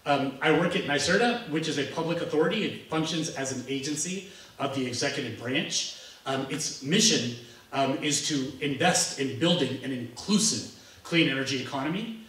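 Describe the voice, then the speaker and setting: A man's voice: medium pitch, normal speed, a bit bright. Earnest man, formal panel talk. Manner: earnest, formal, with frequent ums. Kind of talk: panel introduction. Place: large library room. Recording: roomy hall from far off.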